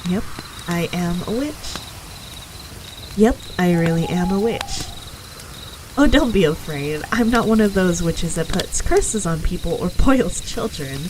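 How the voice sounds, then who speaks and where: A woman's voice: lowish voice, expressive, a little slow. Friendly forest witch, acted by a woman, noisy night ambience. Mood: reassuring. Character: friendly, confident female forest witch, eager mentor. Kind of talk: ASMR roleplay monologue to the listener.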